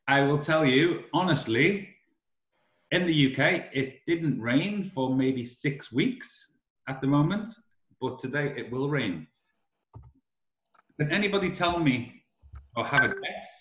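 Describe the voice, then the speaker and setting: A man's voice: mid-range voice, fairly bright tone. Scouse man teaching over a video call. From Liverpool, England. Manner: slow, simple phrasing for learners, asks the class questions. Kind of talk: online class for language learners. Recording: roomy video call audio.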